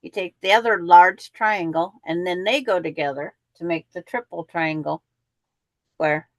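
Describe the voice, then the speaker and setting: A woman's voice: on the low side, measured pace, dry recording. A woman hosting a quilting show, chatting in a rambling way and explaining how the block pieces fit together. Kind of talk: casual live chat and craft instruction.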